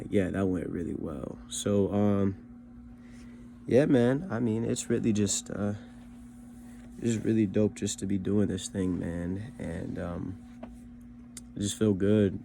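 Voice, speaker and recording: A man's voice: sits low, fairly even intonation, unhurried, gravelly, mellow, slight background noise. A young man casually recapping his karaoke shows in a laid-back, slangy way, full of fillers like "you know what I mean". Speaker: rapper learning to sing, vlog host.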